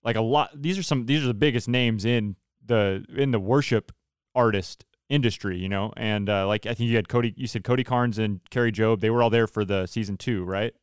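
A man's voice: on the low side, fairly quick, clean tone, no echo, clean studio sound. A man hosting a casual interview podcast, chatting in a self-deprecating, joking way. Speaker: podcast host; church worship leader.

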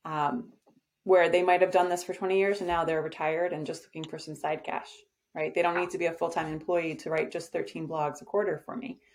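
A woman's voice: deep voice, level tone, brisk, darkish tone. A woman guest on a podcast, talking in a casual, direct and confident way about her business, with frequent "um" fillers. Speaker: podcast guest, agency owner. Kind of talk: casual conversational interview.

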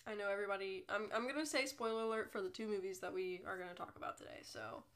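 A woman's voice: medium pitch, steady tone, fast, a bit bright. Congested young woman, chatty podcast host. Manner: chatty, self-deprecating, rambling. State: congested from a cold. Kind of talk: casual solo podcast chat.

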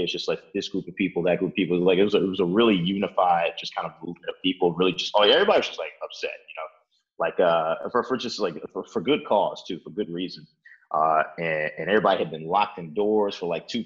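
Young guy from chicago, real low voice, says like a lot, kinda muffled recording. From Chicago, Illinois. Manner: rambling, many fillers like "like" and "uh", restarts. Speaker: interviewee. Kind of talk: casual interview, storytelling. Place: his apartment.

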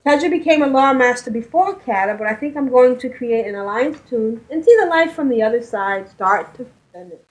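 A woman with a high voice co-hosts a relaxed gaming podcast. She reads listener messages aloud in a cheerful, affectionate way and giggles between lines. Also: a little fast, hardly any pauses, poor audio. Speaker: podcast co-host. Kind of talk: casual chat, reading listener emails aloud.

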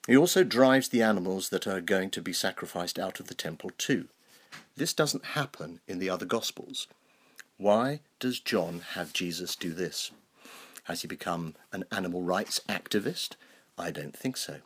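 Husky, low-pitched clergyman preaching. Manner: measured, explanatory, rhetorical questions. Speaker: clergyman, Anglican canon. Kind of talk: scripted sermon and scripture reading.

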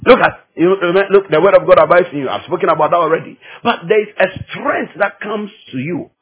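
A man's voice: high-pitched, brisk, phone-quality sound. A man from Ghana with a Ghanaian accent preaching a teaching sermon, emphatic and repeating phrases to drive them home.

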